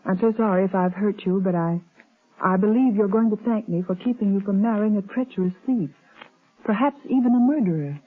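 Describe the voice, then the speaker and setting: An actress playing an earnest, persistent woman investigator in a 1930s radio drama, with deliberate delivery. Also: medium pitch, husky, natural voice. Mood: regretful, grave. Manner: insistent, deliberate. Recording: tinny narrow-band 1930s radio recording.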